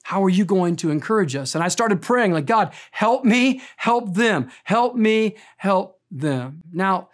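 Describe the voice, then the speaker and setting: A man in his fifties preaching a sermon, telling a personal story in an earnest way and slowing down for emphasis. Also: sits high, animated. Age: 50s. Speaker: pastor.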